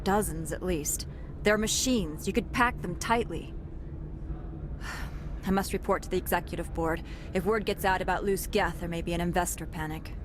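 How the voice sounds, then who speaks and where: A woman's voice: on the low side, normal speed, very noisy, lightly acted. A woman playing a stern, sceptical security captain in a video game, clipped and formal. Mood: grim. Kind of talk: scripted video game acting.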